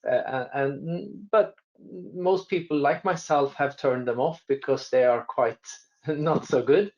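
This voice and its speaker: A man's voice: fairly high pitch, slow, muffled recording. A man from Sweden with a Swedish accent, a telecom guest answering interview questions with frequent restarts and fillers. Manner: hesitant, many restarts and fillers.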